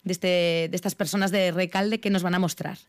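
A woman's voice: on the low side, steady tone, brisk, dry recording, clean studio sound. A woman hosting a radio interview, asking questions and often giving short backchannels. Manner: attentive, frequent short backchannels. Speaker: radio host.

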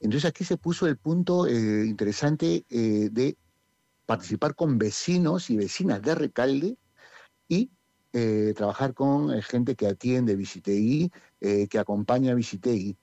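A man's voice: mid-range voice, expressive, unhurried, warm tone, no echo, highs cut off. A Peruvian man with a Peruvian Spanish accent who directs a community theatre group, talking in a radio interview and hesitating with many fillers. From Peru.

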